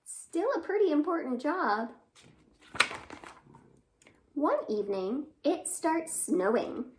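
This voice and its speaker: A woman's voice: sits high, big pitch swings, takes it slowly, darkish tone, a little hiss or hum. A woman hosting a children's storytime, reading expressively with asides and questions to young listeners. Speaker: library storytime host.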